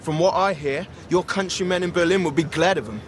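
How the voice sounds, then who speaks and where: An actor playing a chummy, colloquial British prison-camp guard in a wartime radio drama. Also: male voice, sits high, fairly quick, slight background noise, close to an everyday voice. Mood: matey. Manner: colloquial, matey.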